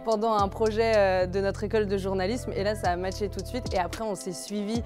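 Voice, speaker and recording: A woman's voice: medium pitch, steady tone, a little fast. A young woman from the Paris region, a TV news journalist, explaining earnestly with many fillers in a casual interview. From Paris region, France. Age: young adult. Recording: music clearly underneath.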